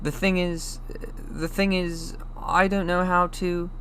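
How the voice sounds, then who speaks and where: A man's voice: high voice, little pitch movement, slow pace, sharp tone, a little hiss or hum, pitched above the performer's own voice, heavily acted. A man voicing a shy, nervous, polite young boy in an audiobook, hesitant and stammering. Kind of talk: audiobook character voice.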